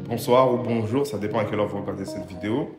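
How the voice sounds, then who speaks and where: A man's voice: on the low side, quick pace. Young man, slangy, echoey room. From Paris, France. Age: 20s. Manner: hesitant, slangy, many fillers. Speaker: interviewee, video creator. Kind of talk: casual interview answers. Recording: echoey room with music behind.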